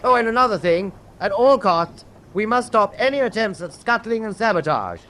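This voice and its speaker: An actor with a British accent playing a resolute naval officer briefing his men in a war radio drama, crisp and authoritative. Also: high-pitched, sing-song, normal speed, bright, forceful tone, heavily acted. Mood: resolute, businesslike. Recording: faint background noise.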